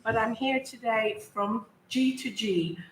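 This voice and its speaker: A woman with a croaky voice giving a measured speech as guest speaker at a memorial event. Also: fairly high pitch. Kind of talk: public speech. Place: arts centre hall. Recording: echoey hall with loud noise.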